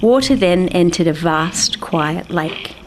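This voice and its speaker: A woman's voice: fairly low pitch, lively intonation, slow pace, music in the background. A woman narrating a scripted children's story as its storyteller. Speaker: author and storyteller.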